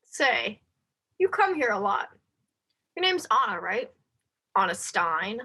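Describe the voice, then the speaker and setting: A woman's voice: medium pitch, sing-song, takes it slowly, pushed and bright, slightly rough, full character voice. A woman playing a suspicious, menacing accuser in a wartime stage drama. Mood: menacing, prying. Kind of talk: theatrical dialogue.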